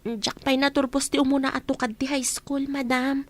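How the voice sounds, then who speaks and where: A woman's voice: high-pitched, little pitch movement, moderate pace, close to an everyday voice. A woman playing a humble, polite young housemaid who is an orphan, in a radio drama. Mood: deferential. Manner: polite, deferential. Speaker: new housemaid.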